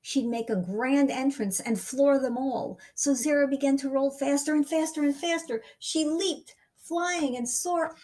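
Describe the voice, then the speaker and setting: A woman reading a picture book aloud as a storyteller, with expressive, deliberate and dramatic phrasing for young listeners. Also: sits high. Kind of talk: expressive children's read-aloud narration.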